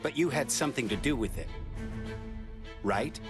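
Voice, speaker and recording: A man's voice: mid-range voice, expressive, moderate pace, natural voice. A man playing a cool, impatient young android tough guy in an anime game. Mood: impatient, suspicious. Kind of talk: dubbed anime game voice acting. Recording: music under the voice.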